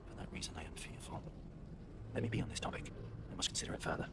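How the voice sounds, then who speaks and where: A man's voice: rapid-fire, background noise, natural voice. An actor playing an anguished, searching man in a video game, desperate to save his sister, with a formal, dramatic, brooding delivery. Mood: brooding, troubled.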